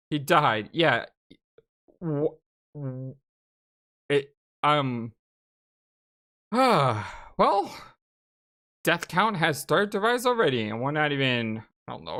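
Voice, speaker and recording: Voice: medium pitch, a bit bright. A gaming channel host giving casual, reactive let's play commentary in an exclamatory way. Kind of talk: blind let's play commentary, casual chat and reading dialogue aloud.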